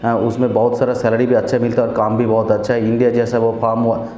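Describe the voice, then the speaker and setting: A man in his forties, a channel host, explaining job openings to camera in a steady, repetitive way, with many fillers and restarts, on a lapel mic in a small office. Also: mid-range voice, fast. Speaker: visa agent and channel host. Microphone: clip-on lapel mic. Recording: echoey office with faint room noise.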